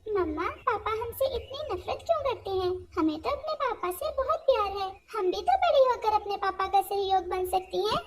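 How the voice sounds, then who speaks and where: A woman's voice: very high-pitched, animated, normal speed, hardly any pauses, pitched above the performer's own voice. A woman voicing an innocent, loving little daughter bird in a cartoon, with a pleading manner. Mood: hurt, pleading.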